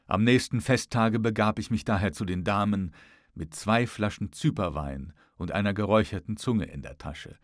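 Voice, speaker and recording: A man reads literary prose as an audiobook narrator. His voice is fairly low and the recording is dry and close, without room sound. He tells the story in a measured, expressive way. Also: dry recording.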